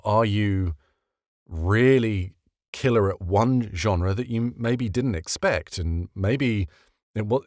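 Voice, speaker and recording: Low-voiced man giving casual advice. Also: dry recording, studio quality. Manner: conversational, rhetorical questions, emphatic repetition. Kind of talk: casual explanatory talk to camera.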